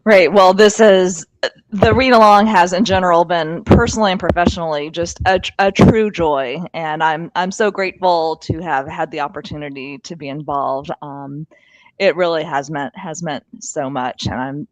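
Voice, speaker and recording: A woman's voice: on the low side, normal speed, no echo, rough recording. Earnest woman in a dry-sounding space. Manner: earnest, grateful. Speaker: guest co-host and producer. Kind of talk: casual livestream talk.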